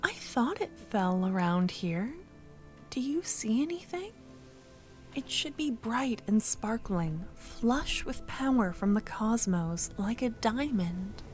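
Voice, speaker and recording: Woman voicing tender young woman in love, high soft breathy voice, unhurried, shy yet excitable, whispery ASMR roleplay. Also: soft background music. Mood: anxious, searching.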